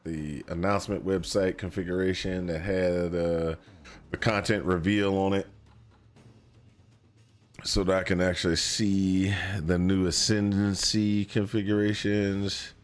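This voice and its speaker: A man's voice: low voice, little pitch movement, unhurried. A young man streaming a video game, talking casually with many fillers and restarts while sniffling from a cold. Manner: rambling, lots of fillers and restarts. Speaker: gaming streamer. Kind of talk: casual gameplay talk.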